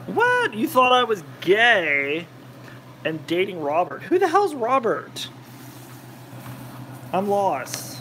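A man's voice: high-pitched, huge pitch swings, takes it slowly, long pauses, bright, forceful tone, a little hiss or hum. A man rambling and joking with his live chat while cooking in his home kitchen. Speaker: cooking vlogger. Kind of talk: casual cooking livestream chat.

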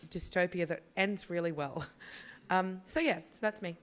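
An Australian woman with a husky voice from a cough, talking casually on a stage panel with wry asides and many fillers. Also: on the low side, moderate pace, slight background noise. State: has a cough. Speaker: panelist, writer. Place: theatre stage before an audience. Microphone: stand microphone.

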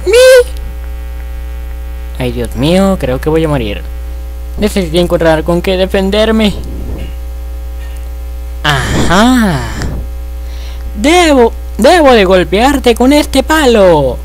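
A man's voice: sits high, sing-song, measured pace, pauses often, a little hiss or hum, rough recording. A man voicing a goofy, clueless, foul-mouthed young hero in a melodramatic cartoon parody fandub. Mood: panicky, dramatic. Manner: melodramatic, singing nonsense syllables to himself.

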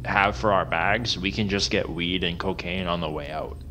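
Low-voiced man planning over game music. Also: normal speed, sharp tone. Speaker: video game player leading the team. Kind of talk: casual gameplay chat.

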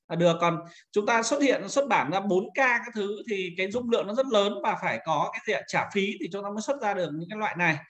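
A man instructing in a screen-recorded software tutorial, talking informally and pointing things out step by step as he demonstrates. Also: high voice. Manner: informal, repeats pointing words while demonstrating.

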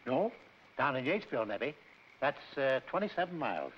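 A folksy small-town cabin keeper, played by a man in an old film comedy. He is easygoing and a little sly, middle-aged, medium-pitched. The recording is muffled and faintly noisy. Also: very animated, moderate pace, natural voice. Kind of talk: 1940s film comedy acting.

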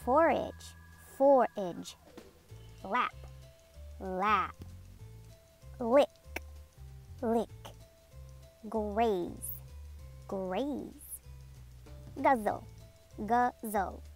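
A woman reading a picture book aloud to children, enunciating each word deliberately and sounding out vocabulary with animated emphasis. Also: medium pitch, soft background music. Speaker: read-aloud host for children.

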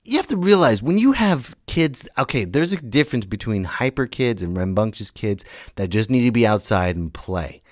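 A man's voice: medium pitch, sing-song, normal speed, dry recording, studio quality. A man in his late thirties hosting a solo podcast, ranting and telling stories casually in a blunt, emphatic manner. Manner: emphatic, blunt, swearing, acts out quoted lines. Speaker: podcast host.

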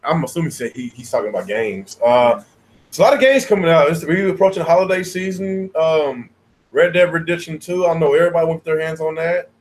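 An American man in his thirties hosting a casual gaming podcast with informal slang and animated banter. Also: fairly high pitch, a little fast, low-quality recording. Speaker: podcast host. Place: small game room at home, indoors.

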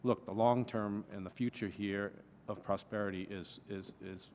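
Male witness, 40s, lowish voice, stammering restarts, faint background noise. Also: steady tone, normal speed, a little mellow. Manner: repetitive stammering restarts, gesturing. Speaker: witness at a hearing. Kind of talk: testimony. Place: large wood-panelled hearing room. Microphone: desk microphone. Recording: long reverb of a large hearing room.

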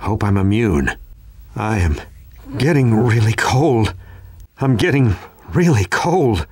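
An actor playing an urgent news helicopter pilot in a zombie video game, with forceful radio-style delivery. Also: male voice, lowish voice, lively intonation, slow, roomy sound. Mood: grim, weakening.

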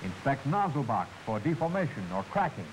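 An American man narrating a 1940s military training film in a formal, clearly enunciated, instructive manner, on an old film soundtrack. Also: mid-range voice, very animated, brisk, background noise.

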